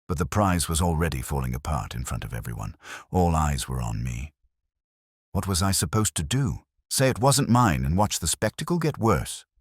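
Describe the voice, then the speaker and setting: A man voicing an ashamed, frightened village woman, soft-spoken and anxious, in an audio mystery story. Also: extremely low pitch, big pitch swings, moderate pace, husky, dry recording, studio quality, exaggerated voice. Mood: ashamed, defensive.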